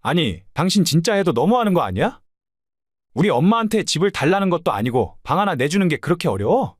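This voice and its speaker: Man acting as an emotional, animated middle-aged husband. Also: on the high side, a little fast, dry recording, clean studio sound. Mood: indignant. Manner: emotional, complaining. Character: aggrieved, self-pitying middle-aged husband, mother's boy. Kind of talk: audio drama dialogue.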